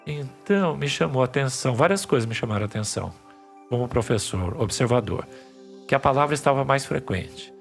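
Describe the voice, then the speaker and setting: A man's voice: mid-range voice, expressive, fairly quick, gravelly, faint music behind. A man, a psychiatry professor, patiently explaining ideas as a podcast guest, often restarting his phrases. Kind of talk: podcast interview.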